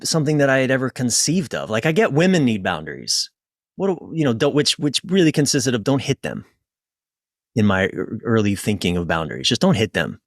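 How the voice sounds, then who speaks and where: A man speaking as a podcast guest and relationship coach, telling personal stories in an informal, emphatic, sometimes halting way with casual swearing. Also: medium pitch, no room sound, clean studio sound.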